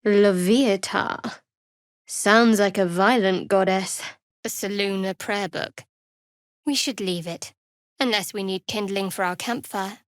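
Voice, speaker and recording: A woman voicing a guarded, wry young female cleric of a dark goddess in a video game, speaking in a measured, dry way on a close mic. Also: mid-range voice, fairly even intonation, dry recording, lightly acted. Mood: dry, sardonic. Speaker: fantasy adventurer companion. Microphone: close, dry studio mic.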